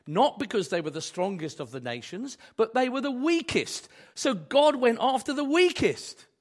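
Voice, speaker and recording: A man preaching a sermon, hoarse from a cold, asking his congregation rhetorical questions in a conversational way. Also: extremely high pitch, sing-song, moderate pace. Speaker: pastor.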